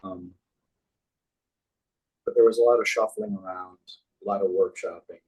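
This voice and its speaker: A man with a low, distinctly husky voice talks casually as an interview guest. He reminisces like an animated storyteller, in a loose conversational style. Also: moderate pace, low-quality recording. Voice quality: deep, husky. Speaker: guest, puppeteer.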